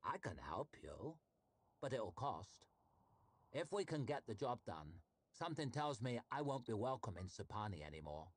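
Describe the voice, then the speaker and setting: A man's voice: mid-range voice, very animated, moderate pace, husky, no echo. A man doing a sly, mocking woman fixer. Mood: guarded.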